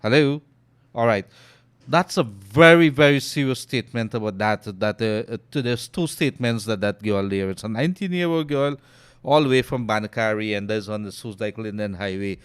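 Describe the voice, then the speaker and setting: A Guyanese man hosting a call-in radio show. He reads out listener messages and comments on them with loud emphasis. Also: on the low side, normal speed, clear, resonant tone, dry recording. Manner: loud and animated on air, trails off and murmurs when aside. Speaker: radio host. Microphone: studio mic.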